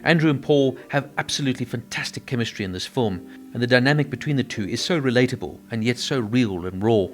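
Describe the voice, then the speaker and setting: A man narrating a scripted film review and trivia, in an even, measured delivery. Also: mid-range voice, faint music behind.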